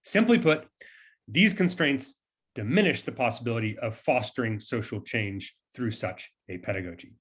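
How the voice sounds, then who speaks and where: A man's voice: mid-range voice, lively intonation, normal speed, a bit bright. A man giving a scripted academic presentation as a researcher, explaining points in formal scholarly phrasing.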